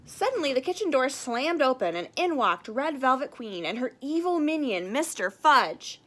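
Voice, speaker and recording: A woman speaking casually and reading a picture book aloud to children in an expressive, friendly way. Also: high-pitched, moderate pace. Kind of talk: casual chat and children's storybook read-aloud.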